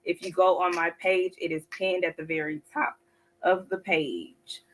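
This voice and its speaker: A woman co-hosting a casual sports talk show, chatty and quick to laugh. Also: fairly low pitch, steady tone, a little hiss or hum, rough recording. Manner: chatty, laughs often, drawn-out asides. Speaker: co-host.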